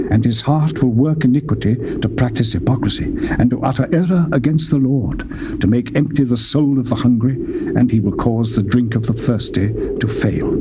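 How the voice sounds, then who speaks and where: A man reads scripture aloud in a solemn, declaiming manner. His voice sits at an ordinary middle pitch but rises and falls widely. A loud hiss of noise runs under the whole recording. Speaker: Bible reader. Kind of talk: dramatized scripture reading.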